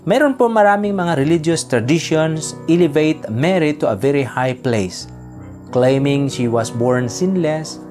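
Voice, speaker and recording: A man in his forties preaching a home-recorded sermon to camera, reading scripture and then explaining it in Taglish with polite "po" and short pauses. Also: a little high, expressive, darkish tone, soft background music. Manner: earnest preaching with frequent "po" and filler pauses. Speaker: pastor. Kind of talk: sermon to camera, mixing Tagalog and English.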